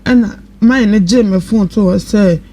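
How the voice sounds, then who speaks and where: A woman's voice: mid-range voice, a little slow, mellow. A woman with a Ghanaian accent giving a spontaneous personal account as a guest on a live radio discussion. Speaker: guest telling her personal story. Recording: noisy live radio studio sound.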